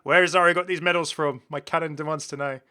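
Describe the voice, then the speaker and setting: A man's voice: fairly high pitch, steady tone, fast talker, bright, forceful tone, clean tone. A man hosting a gaming video in a casual, chatty commentary, rambling and repeating his phrases. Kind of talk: casual commentary on game cosmetics.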